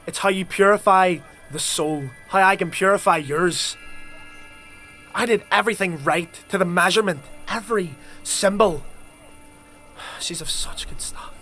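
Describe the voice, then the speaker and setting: Deranged alchemist preacher, slow deliberate delivery, tense bright tone, fantasy audio drama, music underneath. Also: male voice, high voice. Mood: hushed, feverish. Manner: fervent, preaching, unhinged. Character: fanatical zealot alchemist, twisted by dark blood rites. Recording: music behind.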